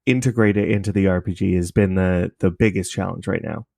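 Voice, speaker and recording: A man with a fairly low voice talks casually as a podcast guest. He is thoughtful and upbeat, slightly hesitant in his phrasing, and sounds dry and close. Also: fairly even intonation, fairly quick, creaky, a little mellow, no echo. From Canada. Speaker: podcast guest, independent fiction podcast creator. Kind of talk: casual interview chat.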